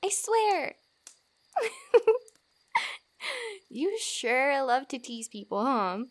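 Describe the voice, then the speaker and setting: A woman playing a friendly, teasing fantasy wanderer who gives comfort in a soft-spoken, giggly, rambling ASMR roleplay. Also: sits high, big pitch swings, very slow, heavily acted. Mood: playful, teasing.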